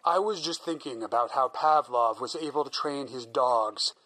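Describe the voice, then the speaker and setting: A man's voice: mid-range voice, normal speed, pushed and bright, low-quality recording. A man acting a thoughtful, obsessive doctor explaining his ideas in an old-time radio drama. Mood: musing. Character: obsessed male doctor musing on experiments.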